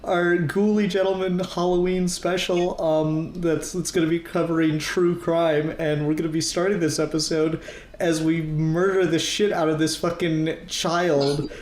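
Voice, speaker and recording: A man's voice: a little high, flat delivery, darkish tone. Laid-back thirty-something man, roomy echo. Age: 30s. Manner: laid-back, laughing, swearing, halting with fillers. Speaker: podcast host. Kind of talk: casual chat. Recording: echoey room.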